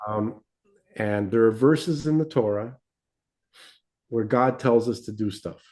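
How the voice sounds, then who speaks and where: A man's voice: fairly low pitch, takes it slowly, a little mellow. A man co-hosting a casual religious discussion podcast, explaining in a didactic way and often checking in with short tag questions. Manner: didactic, checks in with "right?" and "okay?". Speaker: podcast co-host.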